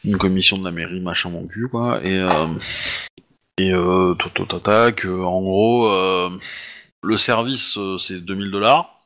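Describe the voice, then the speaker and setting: A man's voice: low-pitched, fairly even intonation, unhurried, a bit bright, highs cut off, slight background noise. A man running a tabletop roleplaying game as game master. He narrates casually, with lots of fillers and some slang.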